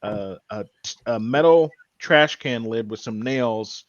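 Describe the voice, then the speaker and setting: Dull-sounding man chatting, halting and earnest. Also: medium pitch, no room sound. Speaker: podcast co-host. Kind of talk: casual discussion, recounting a TV show.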